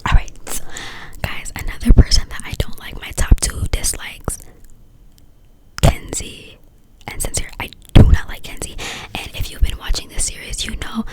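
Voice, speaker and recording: A woman speaking in a breathy whisper, giving casual, opinionated commentary in slangy, informal phrasing, in an ASMR-like style. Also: moderate pace, rough recording. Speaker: vlogger reviewing a reality dating show.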